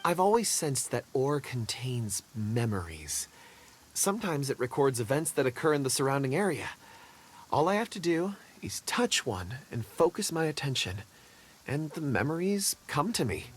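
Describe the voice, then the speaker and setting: An actor voices a polite, shy young man in a video game, who stammers and picks his words with care. His pitch moves in a lively way, with faint noise behind him. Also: normal speed, close to an everyday voice. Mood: explaining.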